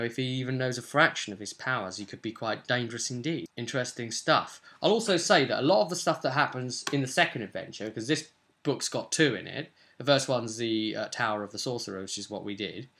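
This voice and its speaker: A young man with a bright, forward voice at an average male pitch talks casually to a camera at his desk. He is a game master explaining things informally, wandering from one thought to the next as he thinks aloud. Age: young adult. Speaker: vlog host, tabletop game master. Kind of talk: casual vlog talk about a role-playing game. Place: small furnished room at home, indoors. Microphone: webcam or camera at desk distance.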